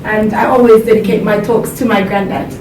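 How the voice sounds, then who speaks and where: A woman's voice: fairly even intonation, brisk. Earnest woman speaker in an echoey hall. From Jamaica. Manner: earnest, laughs along with the audience. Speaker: motivational speaker. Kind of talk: motivational seminar talk. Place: seminar room with an audience. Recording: echoey hall, distant mic, background rumble.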